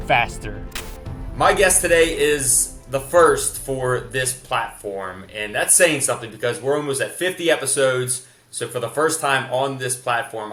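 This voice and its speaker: A man's voice: mid-range voice, a bit bright, echoey room. A man with a Kentucky accent hosting a podcast interview, asking long, rambling questions full of fillers. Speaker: podcast host. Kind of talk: casual interview.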